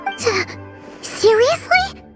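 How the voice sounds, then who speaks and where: An extremely high, squeaky little fairy girl chirps as a curious, excitable game companion. Her childlike cartoon delivery leaps wildly in pitch, over clearly audible music. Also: very slow, full character voice. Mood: curious, surprised. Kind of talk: scripted cartoonish game voice acting.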